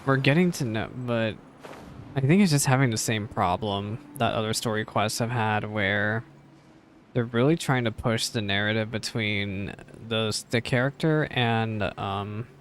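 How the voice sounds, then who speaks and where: A man's voice: fairly low pitch, unhurried, slight background noise. A man reacting live to a video game in a casual, chatty way, thinking aloud as he plays. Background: video game music.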